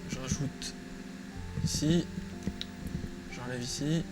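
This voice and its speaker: Casting: male tutorial presenter, mid-pitched, informal and unscripted, with prominent music behind him. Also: slow, low-quality recording. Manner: informal, thinking aloud while working. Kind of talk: casual, improvised screen-recorded tutorial. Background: loud music.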